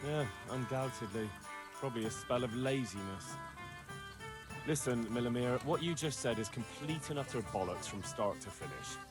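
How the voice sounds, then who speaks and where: Lively, blunt, sceptical young peasant man, acted. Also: mid-range voice, normal speed, over music, natural voice. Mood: sarcastic. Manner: sarcastic, impatient, blunt. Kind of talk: game cutscene dialogue.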